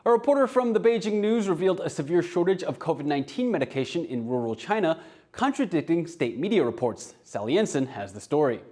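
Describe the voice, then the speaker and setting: A man anchoring a television news program, reading scripted stories in a crisp, formal way. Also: a little high, big pitch swings, quick pace, darkish tone.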